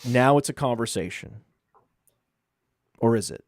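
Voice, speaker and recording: A man from Maine with a mostly suppressed Maine accent, hosting a casual sports podcast, often repeating words and trailing off quietly. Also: mid-range voice, a little slow, no echo, studio quality. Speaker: podcast host.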